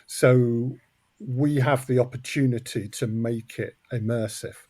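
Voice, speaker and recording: A man with a middling pitch, recorded very dry and close on the mic. He talks in a casual, conversational way as a guest explaining his craft. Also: fairly even intonation, measured pace, dark tone, no room sound. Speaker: guest, audio drama co-creator. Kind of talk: casual interview chat. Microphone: close mic, wearing headphones.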